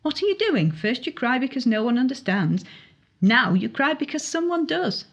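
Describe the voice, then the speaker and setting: A lonely teenage girl in a young adult story, voiced by a woman reader. She is anxious and plaintive, hesitating and stammering as she questions herself, with a slightly husky edge to the voice. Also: high-pitched, very animated, normal speed, pitched above the performer's own voice, heavily acted. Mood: bewildered, upset.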